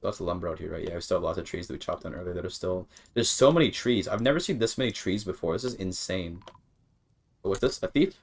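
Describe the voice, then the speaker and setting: Low-voiced man streaming a game. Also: expressive, quick pace, a little hiss or hum. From Canada. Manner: rambling, repeats words, thinking aloud. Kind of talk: casual live gameplay commentary.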